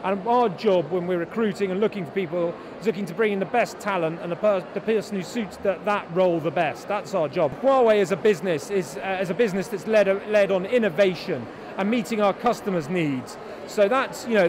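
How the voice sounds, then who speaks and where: A man's voice: sits high, fairly quick, background noise. A man giving a spontaneous interview answer as a company representative at a busy event. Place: busy job fair. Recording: loud busy crowd behind.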